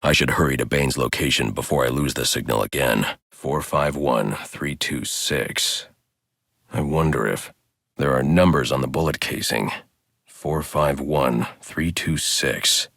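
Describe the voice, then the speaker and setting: A grim masked crimefighter from a video game. His voice sits extremely low, with a heavy gravel to it, recorded close and dry. He speaks in terse, stern phrases, like a driven detective who allows no argument. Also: fairly bright tone, no room sound, full character voice. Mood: grim, measured. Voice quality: gravelly, very deep. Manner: terse, stern, clipped delivery. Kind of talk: video game voice lines, scripted hero dialogue.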